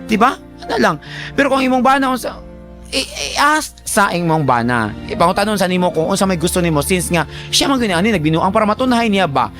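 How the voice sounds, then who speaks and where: A high-pitched male radio host with a Cebuano Bisaya accent gives casual advice over background music. He is colloquial and teasing, addressing the listener directly in an animated manner. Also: fairly quick. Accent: Filipino, Cebuano Bisaya. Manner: animated, colloquial, teasing, acts out imagined remarks. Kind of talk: casual conversational advice to a letter sender.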